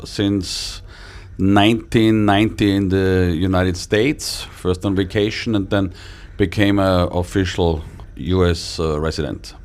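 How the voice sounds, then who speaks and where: A man's voice: deep voice, fairly even intonation, a little slow, a little mellow. A middle-aged or older man from Austria, a native German speaker with an Austrian accent, answering interview questions as an executive. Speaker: interview guest, cruise line executive.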